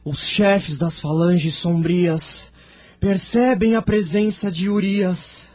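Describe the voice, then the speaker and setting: Slow, high-voiced male narrator. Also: steady tone, a little mellow. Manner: solemn. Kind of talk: scripted dramatic narration.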